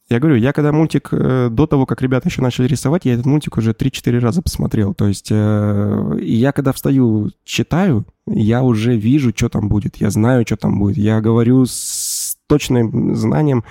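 A man who creates and voices an animated channel, answering interview questions in a rambling, casual way with many fillers and restarts. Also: medium pitch, vocal fry, no room sound, studio quality.

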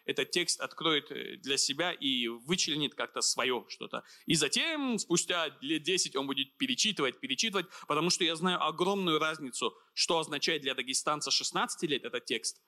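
A man in his thirties from Dagestan, a writer and guest, speaking earnestly and thoughtfully in an interview, pausing with fillers. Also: on the high side, expressive, sharp tone. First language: Avar. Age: 30s. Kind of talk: reflective interview conversation.

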